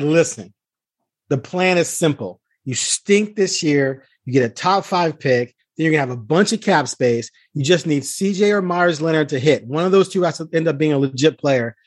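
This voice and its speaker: A man's voice: on the high side, no room sound. Emphatic man talking sports, dry close sound. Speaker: podcast host and sports reporter. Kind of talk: casual sports podcast talk.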